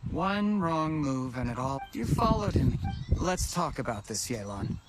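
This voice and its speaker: A man's voice: a little high, very animated, unhurried, heavy background noise. A man playing a cornered, ambitious official in a video game, slippery, pleading and agitated. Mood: desperate bargaining. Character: scheming, cornered ambitious male official, smooth-talking and desperate. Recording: music behind the voice.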